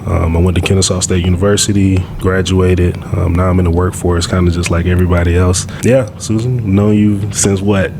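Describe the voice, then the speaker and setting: A man in his twenties, born in Nigeria and raised in Georgia, talks as a podcast guest in a relaxed, easygoing way. His voice sits very low. A clear rumble of noise runs under the recording. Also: fairly quick, few pauses. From Georgia, USA, born in Nigeria. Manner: relaxed, easygoing, many fillers. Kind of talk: casual conversation.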